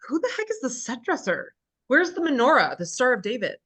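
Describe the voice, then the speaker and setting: A woman's voice: high-pitched, wildly swooping pitch, fairly quick, a bit bright, slightly rough, heavily acted. A woman playing a sarcastic, irreverent female show host in a wry, exasperated manner at a comedy table read.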